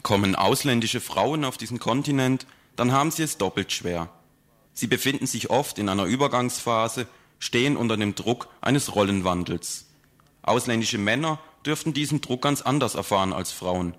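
A man reads a scripted radio report at a measured pace. He leans on key words with calm emphasis. His pitch sits in the middle range.

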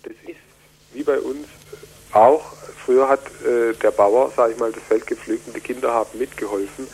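A man's voice: medium pitch, steady tone, moderate pace, a little mellow, low-quality recording. A man working as a dealer, answering in a casual street-interview style. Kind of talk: casual vox-pop interview. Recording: clear background noise.

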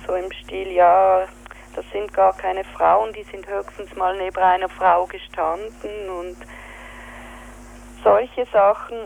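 A woman with a Swiss German accent, telling in an interview what happened, halting with fillers and searching for words. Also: medium pitch, creaky, low-quality recording. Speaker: activist interviewee. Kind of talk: spoken account in an interview.

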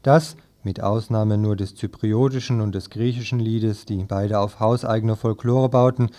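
Dark, mellow-voiced man reading a press review. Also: lowish voice, steady tone, normal speed. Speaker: radio press review reader. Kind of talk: scripted radio reading of newspaper commentary.

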